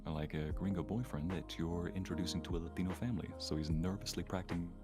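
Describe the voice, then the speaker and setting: A man with a deep voice talks casually as on a livestream, easygoing and agreeable. His phrasing is a little hesitant, and music plays clearly behind him. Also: steady tone, fast. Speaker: ASMR voice actor, guest.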